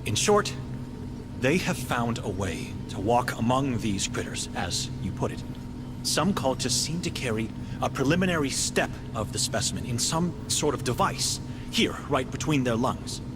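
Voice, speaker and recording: A man's voice: medium pitch, lively intonation, normal speed, very noisy, natural voice. An actor playing a polite, pedantic male doctor in a cinematic video game, hesitant and fascinated by his research. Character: hesitant, fussy scientist doctor fascinated by alien creatures.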